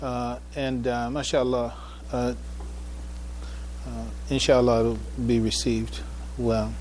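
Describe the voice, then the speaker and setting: A man giving a public address as a presenter, speaking in a halting way with repeated phrases and restarts. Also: medium pitch, flat delivery, a little mellow, slight background noise. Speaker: conference speaker presenting a paper. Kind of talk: public address, informal preamble then reading a paper aloud. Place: public event before an audience.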